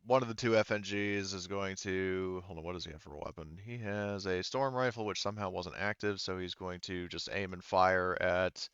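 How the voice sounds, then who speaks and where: A man working as game master, narrating a tabletop roleplaying game casually, thinking aloud haltingly and swearing freely. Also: deep voice, a bit bright, clear, resonant tone, no echo, highs cut off.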